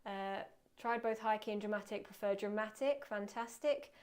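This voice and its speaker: A woman teaching photography in a live webinar, chatty and self-deprecating, muttering quietly to herself as she works at her computer. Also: medium pitch, moderate pace, clear, resonant tone. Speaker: photography instructor. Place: indoors at a computer.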